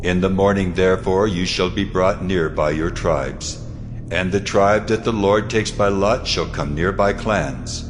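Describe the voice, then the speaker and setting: An actor voicing God in a dramatized scripture reading, solemn, authoritative and measured, with stern command. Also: male voice, sits low, background noise. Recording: long hall reverb, music underneath.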